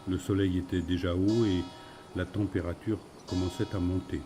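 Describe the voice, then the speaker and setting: A man's voice: low voice, flat delivery, moderate pace, music in the background. A man narrating a scripted story.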